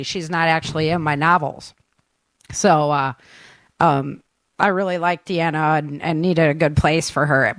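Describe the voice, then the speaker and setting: A woman's voice: low voice, a bit bright, no room sound. A woman hosting a casual chat as an author, speaking in an enthusiastic, informal way with ready laughter. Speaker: author and show host.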